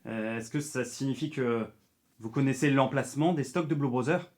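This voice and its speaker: A man's voice: medium pitch, moderate pace, lightly acted. Formal male news anchor played by an actor. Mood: needy, tense. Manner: formal, announcing. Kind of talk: French news bulletin acting in an audio drama.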